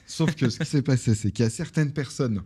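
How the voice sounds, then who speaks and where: A young French man, a guest on a podcast, telling business stories in a casual, animated way with lots of fillers. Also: medium pitch, brisk, slightly rough. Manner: animated storytelling with many fillers. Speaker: online business founder, guest.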